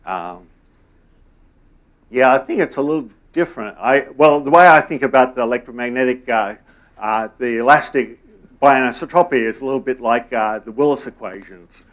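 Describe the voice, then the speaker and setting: A middle-aged man answering audience questions after a lecture in a conference room, halting, with many fillers and restarts. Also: medium pitch, fairly bright tone.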